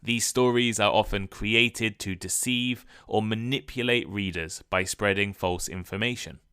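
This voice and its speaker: A man with a somewhat low voice narrates a scripted English lesson in a measured, deliberate way. His tone is bright and smooth, and he is recorded close on the mic in a dry room. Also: no room sound. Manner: clear, measured delivery that sounds read from a script. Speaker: podcast host and English teacher.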